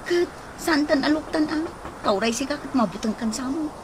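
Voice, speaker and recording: A woman with an Ilocano accent playing an excitable, fretful village wife in a radio comedy drama, speaking in dramatic exclamations. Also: sits high, normal speed, background noise, natural voice.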